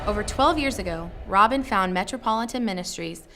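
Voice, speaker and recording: A woman's voice: medium pitch, expressive, fairly quick. A woman reading a scripted tribute aloud as narration. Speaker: company employee reading submission stories. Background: prominent background music.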